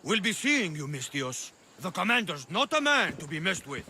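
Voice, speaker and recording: Sharp, high-pitched menacing thug. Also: male voice, very animated, normal speed, slight background noise, heavily acted. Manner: threatening, sneering. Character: menacing, sneering male thug guard, easily riled. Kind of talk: video game cutscene acting.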